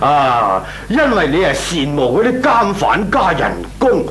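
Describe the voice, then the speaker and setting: Shrewd Hong Kong boss, wildly swooping voice. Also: male voice, on the high side, sing-song, over music. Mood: mocking. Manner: drawn-out interjections, feigned bafflement, sarcastic. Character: shrewd, mocking male company boss. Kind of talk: Cantonese comic radio drama acting.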